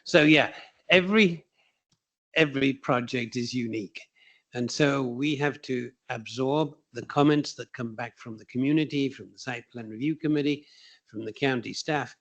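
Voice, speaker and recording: A man presenting as architect at a public county meeting, deliberate and conversational, speaking up for the room. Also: mid-range voice.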